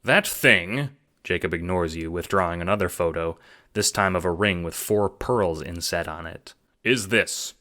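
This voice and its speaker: A man's voice: low voice, fairly even intonation, moderate pace, a bit bright. A man reading scripted audiobook narration as the narrator of an audio drama. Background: music.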